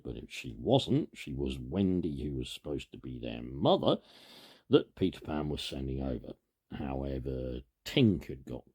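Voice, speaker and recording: A man reading a children's story aloud in an animated way, with informal asides and a gravelly, husky voice. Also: rumbling low. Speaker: storybook reader.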